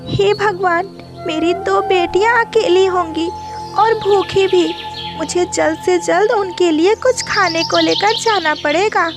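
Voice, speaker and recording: A woman's voice: extremely high pitch, a little slow, over music, voice pushed up. A woman voicing an anxious, devoted mother songbird in a cartoon moral story, with a tender, pleading manner. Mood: worried.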